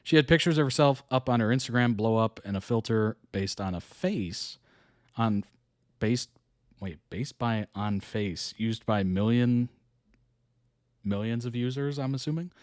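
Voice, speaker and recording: A man's voice: medium pitch, measured pace, dry recording. Chatty man reacting, dry close sound. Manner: chatty, thinks aloud, laughs often. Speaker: reaction video host. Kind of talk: casual reaction commentary. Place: hot indoor room.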